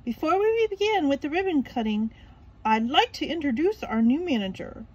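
A woman's voice: high voice, big pitch swings, moderate pace, muffled recording, voice pushed up. Woman doing a brash, emphatic cartoon crab boss. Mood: announcing. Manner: announcing, emphatic.

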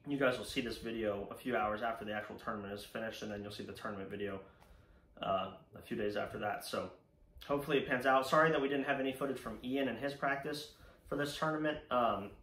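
A man's voice: lowish voice, quick pace, a bit bright. A young man with an American accent talks casually and informally to camera as a fishing vlog host. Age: young adult. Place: indoor room at home, seated before a banner. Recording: echoey room, faint background noise.

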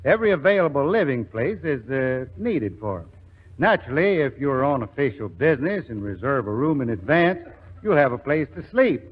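Folksy 1940s radio host, dull sound. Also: male voice, mid-range voice, very animated, normal speed, husky.

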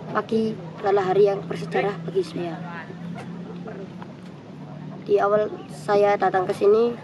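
Outdoor noise sits clearly behind the voice of a young teenage boy. He gives a prepared testimonial as a student scout, earnest and deliberate, halting slightly as he goes. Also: high voice. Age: young teenager, about 12 to 13. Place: outdoors in a hillside camp garden.

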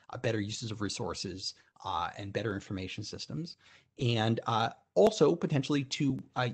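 A middle-aged man with a somewhat breathy, husky tone answers audience questions as a keynote speaker. He is on a video call from a room at home and talks in a thoughtful way, restarting phrases and filling pauses with "you know". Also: mid-range voice. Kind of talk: conference Q&A answer.

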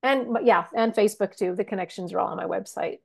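A woman Bible teacher talks in a relaxed, casual way as a podcast guest, her mid-pitched voice carrying a strong gravelly fry. She often stops and starts, trailing into fillers as she gathers her thoughts. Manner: warm, halting, frequent restarts and fillers. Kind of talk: casual interview chat.

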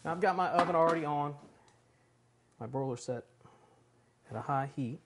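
A man's voice: a little high, normal speed, background noise. A man in his forties hosting a home cooking show, talking casually and enthusiastically while he works in a large kitchen. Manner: chatty, enthusiastic, talks while working.

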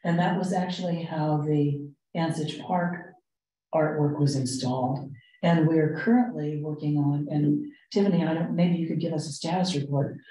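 A woman leading a committee meeting, explaining items in a halting, measured way. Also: low-pitched, fairly even intonation, mellow. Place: indoor meeting room. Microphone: distant room microphone. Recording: roomy, distant meeting-room microphone.

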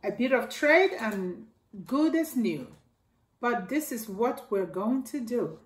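A middle-aged woman reading a children's picture book aloud, slow and expressive, with her voice leaping up for exclamations. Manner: slow, expressive read-aloud, jumping high for exclamations and a toy's voice. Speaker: children's storytime reader. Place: living room by a staircase, indoors. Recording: echoey room.

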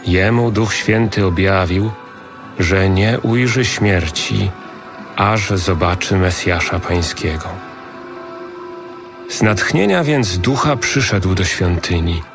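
Guy narrating the bible, slow n solemn, lowish voice, music behind. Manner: measured, solemn. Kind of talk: scripted scripture narration.

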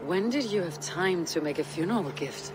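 A steady Viking warrior, with a somewhat high voice for a man. The clan leader speaks calmly and directly, with music behind, as in a video game story. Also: normal speed. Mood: friendly, wry. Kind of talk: dramatic video game cutscene acting.

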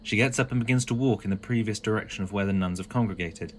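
A man's voice: fairly low pitch, fast talker, slight background noise. A man reading scripted narration for an audio drama.

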